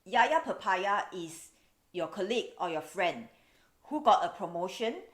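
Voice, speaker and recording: A woman in her forties from Singapore with a Singaporean English accent, explaining things in a measured, wry vlog. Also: mid-range voice, very animated. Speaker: video host. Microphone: camera's built-in mic.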